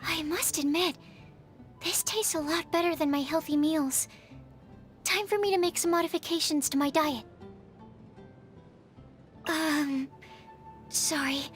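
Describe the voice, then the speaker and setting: A shy young female alchemist from a video game, with a high, girlish voice over music. She speaks softly and politely, choosing precise words, earnest and bookish but hesitant and easily flustered. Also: unhurried, long pauses. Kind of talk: video game character voice lines.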